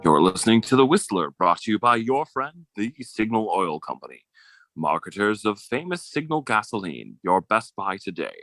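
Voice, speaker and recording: A man playing a brisk sponsor announcer reading an old-time radio advertisement. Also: lowish voice, very animated, fairly bright tone, soft background music. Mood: upbeat, selling.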